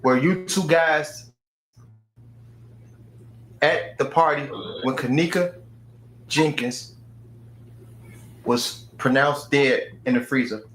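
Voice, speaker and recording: A man's voice: a little high, slow, pauses often, a bit bright. Blunt male interviewer in an echoey room. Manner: blunt, direct questioning. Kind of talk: street-style interview.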